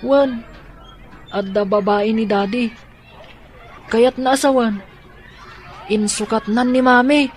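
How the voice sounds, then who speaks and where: A woman's voice: on the high side, fairly even intonation, slow pace, pauses often, some chatter behind. A woman playing a worried but resolute, scheming young girl in a radio drama. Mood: determined. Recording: faint background noise and ambience.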